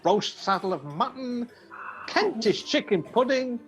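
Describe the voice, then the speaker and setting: A woman's voice: a little high, animated, unhurried, soft background music. A woman playing a breezy, chatty male detective who asks probing questions in a radio play. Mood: jovial. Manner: jovial, inquisitive.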